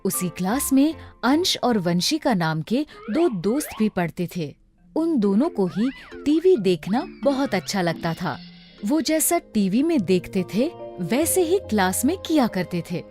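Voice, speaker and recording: A woman's voice: fairly high pitch, big pitch swings, measured pace, a little mellow. A woman narrating a scripted story for children. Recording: music behind the voice.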